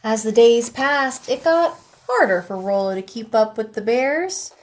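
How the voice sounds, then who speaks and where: Slow, gentle woman reading a storybook. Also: on the high side, lively intonation. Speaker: teacher. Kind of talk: children's picture book read-aloud.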